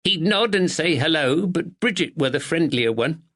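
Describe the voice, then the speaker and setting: A man's voice: on the high side, animated, moderate pace, fairly bright tone, voice pushed up. A man voicing an anxious middle-aged village woman with a dialect who cares for her mother. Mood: nervous, polite. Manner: timid, dialect "were".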